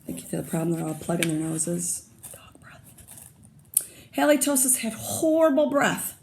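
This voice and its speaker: A woman teacher reading a picture book aloud to young children, pausing to comment and ask them questions. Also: on the low side, sing-song, creaky, a little mellow, slight background noise.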